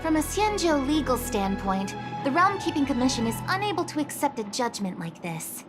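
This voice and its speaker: A woman's voice: high voice, big pitch swings, moderate pace, music in the background. A woman voicing a composed young officer in a video game, formal, earnest and polite. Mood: formal, apologetic. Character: dutiful, composed young female city officer. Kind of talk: video game English dub acting.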